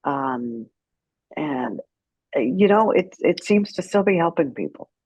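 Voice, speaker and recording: A woman's voice: on the low side, expressive, measured pace, a little mellow, breathy edge, dry recording. A middle-aged woman speaking as an interview guest, telling stories in a frank, wry, conversational way. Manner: frank, wry, acts out remembered dialogue. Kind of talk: candid conversational storytelling.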